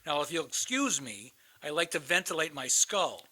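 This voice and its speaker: A man's voice: fairly high pitch, sing-song, moderate pace, bright, forceful tone, heavily acted, rough recording. An actor playing a bitter, sardonic man in a radio play, blunt and sarcastic. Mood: defiant, sardonic. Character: bitter, sardonic man, crisis counselor posing as suicidal caller.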